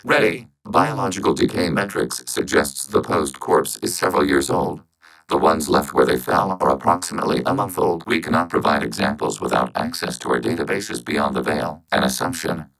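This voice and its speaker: An actor voicing a calm, logical synthetic robot soldier for a video game, with clipped formal phrasing and a processed machine voice. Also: male voice, extremely low pitch, gravelly, exaggerated voice, low-quality recording. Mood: terse, alert. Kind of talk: video game character voice acting.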